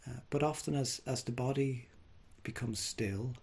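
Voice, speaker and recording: A man leading a guided body scan meditation, speaking gently and without hurry, leaving long pauses. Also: medium pitch, vocal fry, slightly rough. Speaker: mindfulness meditation teacher.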